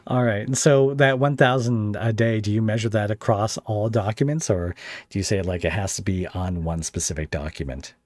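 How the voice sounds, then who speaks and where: Low-voiced man hosting a casual interview. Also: moderate pace, dry recording. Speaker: podcast host and interviewer. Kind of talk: casual interview, asking questions.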